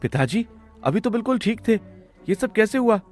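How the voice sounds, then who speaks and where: A man's voice: high-pitched, big pitch swings, moderate pace, a little mellow, soft background music. A man voicing a studious, trusting young village son who speaks politely and earnestly in a cartoon story. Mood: concerned.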